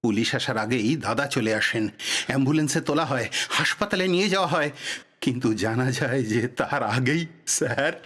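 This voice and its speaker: A man's voice: mid-range voice, fairly quick, fairly bright tone, close to an everyday voice. Man playing a shaken, guilt-ridden motorist. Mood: shaken, mournful. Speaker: actor. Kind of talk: Bengali audio drama acting.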